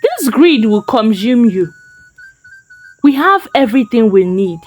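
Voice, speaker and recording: Worried young wife, a woman storyteller acting, earnest, reproachful, slow, lively pitch, folktale character. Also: soft background music.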